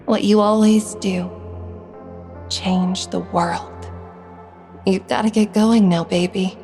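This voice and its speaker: A woman's voice: mid-range voice, steady tone, slow, soft background music. A woman playing a tender, teasing wife in a dream, in an intimate audio drama. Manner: soft, affectionate, playful.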